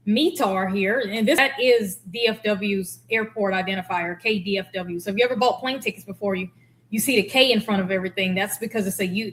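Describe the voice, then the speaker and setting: A woman's voice: fairly high pitch, a bit bright. A woman giving casual, candid explanatory commentary as a former air traffic controller.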